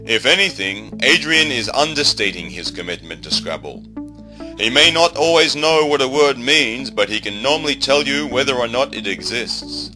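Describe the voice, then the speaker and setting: A man reading scripted documentary narration in a measured, wry way. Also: mid-range voice, very animated, pushed and bright, low-quality recording. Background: music.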